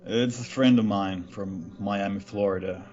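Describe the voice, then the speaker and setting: A man from Finland with a Finnish accent, giving a casual interview about his band and often pausing with "uh.". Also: on the low side, lo-fi sound. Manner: relaxed, halting with many uhs.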